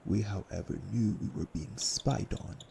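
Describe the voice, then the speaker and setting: A man playing a cold, commanding vampire elder brother in an audio roleplay, speaking formally and sternly with a gravelly voice. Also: on the low side, moderate pace, mellow, a little hiss or hum. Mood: stern, angry. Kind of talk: audio roleplay drama acting.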